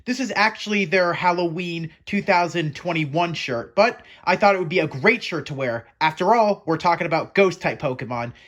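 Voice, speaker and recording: A man's voice: on the high side, expressive, normal speed, hardly any pauses, a bit bright. A man presenting to viewers as a playful professor host, chatty and theatrical, often putting questions to the audience. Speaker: host of a Pokemon education show.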